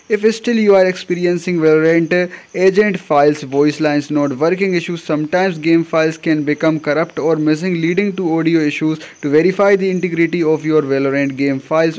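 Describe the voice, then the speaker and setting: Man, slightly high voice, tutorial host, scripted step-by-step narration. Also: darkish tone. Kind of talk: scripted how-to narration, reading steps aloud.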